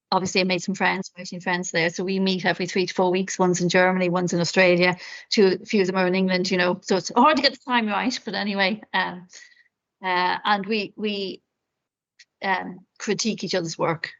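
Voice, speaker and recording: A woman's voice: lowish voice, lo-fi sound. A middle-aged woman, an author, giving encouraging writing advice on a video call, with many you-knows. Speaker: author guest. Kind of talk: friendly advice in an interview.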